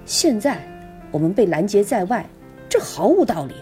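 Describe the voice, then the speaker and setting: A woman narrates literary prose in a medium-pitched voice with very animated intonation. It is a scripted podcast reading, with music clearly playing behind her. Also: moderate pace. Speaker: podcast host.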